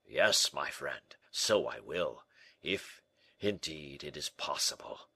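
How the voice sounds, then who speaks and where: A man voicing a vain, rich, big nobleman in an audiobook, slow, emphatic and melancholy. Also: mid-range voice, sing-song, a bit bright, breathy edge, voice pushed up, heavily acted. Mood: gloomy. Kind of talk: audiobook character acting.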